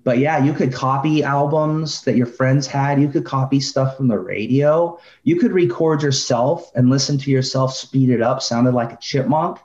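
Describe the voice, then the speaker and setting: A man's voice: medium pitch, moderate pace, hardly any pauses, a little mellow. Man chatting casually in a roomy, dull recording. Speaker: podcast co-host. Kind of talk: casual debate chat.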